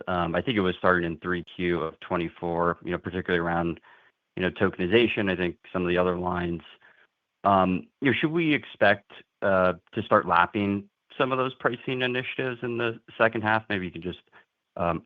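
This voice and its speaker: Male analyst, low voice, casual and hedging, phone-line sound. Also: no room sound. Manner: casual, hedging with "you know" and "um". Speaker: analyst caller. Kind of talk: asking a question on an earnings call. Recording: dry, tinny phone line.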